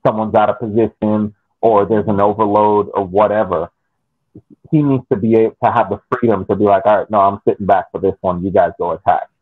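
Husky, low-pitched man on a phone-like call. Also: fairly even intonation, fairly quick. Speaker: sports podcast panelist. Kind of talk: casual soccer analysis on a podcast. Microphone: video call. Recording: phone-like video call audio.

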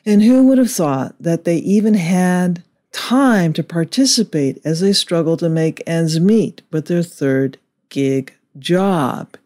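A man reading a scripted political commentary in a measured, indignant way. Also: on the high side, warm tone.

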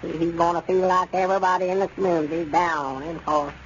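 An actor playing an excitable old country storekeeper with a rural Southern accent and a hesitant, stammering, folksy manner in a radio comedy. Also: male voice, high-pitched, fast. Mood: worried. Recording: dull, noisy old radio recording.

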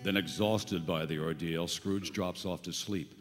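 A man's voice: low voice, fairly even intonation, a little slow. A middle-aged man playing the grave narrator of a ghost story in a staged radio play. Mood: grave, suspenseful. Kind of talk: scripted dramatic narration. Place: on stage in a large theatre. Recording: theatre PA, reverb, music behind. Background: music.

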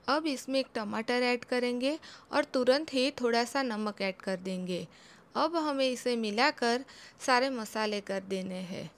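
A young woman giving a calm, step-by-step home cooking voice-over as a channel host. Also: fairly high pitch. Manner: calm, instructive, homely guidance.

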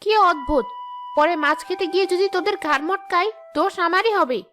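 A very high-pitched female cartoon ghost acted by a woman, brash and greedy. She grumbles and boasts bluntly, with music playing behind her. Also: brisk, sharp tone. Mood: grumbling, boastful. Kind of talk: animated cartoon dialogue.

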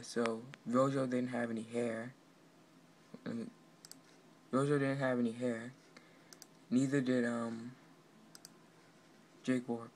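A man giving a casual, halting, offhand walkthrough of his project, recorded indoors on a handheld camera. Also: mid-range voice. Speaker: amateur game developer. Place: indoors at a computer. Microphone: handheld camera pointed at monitor. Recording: noisy amateur room recording.

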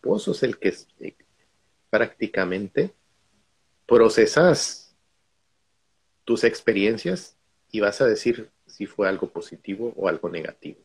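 A man's voice: fairly low pitch, expressive, a little slow, creaky, pauses often, slightly rough. A middle-aged man from Guatemala, a guest on a video call, telling stories from his own life in a reflective way. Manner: reflective, storytelling. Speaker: guest speaker.

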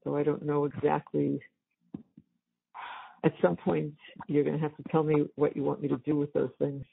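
Husky older woman on a tinny phone. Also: low-pitched, little pitch movement. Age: 70s. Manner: halting, repeats phrases. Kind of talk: casual family phone chat. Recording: tinny, band-limited jail phone call.

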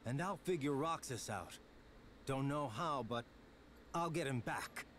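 A man's voice: medium pitch, lively intonation, a little slow, a bit bright, a little hiss or hum. An actor playing a cocky but loyal young man in a video game, exasperated and sardonic. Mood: determined. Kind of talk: animated video game dialogue.

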